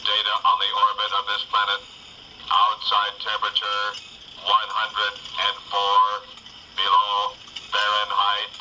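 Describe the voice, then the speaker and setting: A man's voice: lowish voice, completely flat delivery, measured pace, close to an everyday voice. An actor playing a dutiful, authoritative male robot with formal, declamatory delivery in a 1960s science fiction television style. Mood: commanding. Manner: formal, declamatory, clipped announcements. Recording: noisy lo-fi playback from small speaker.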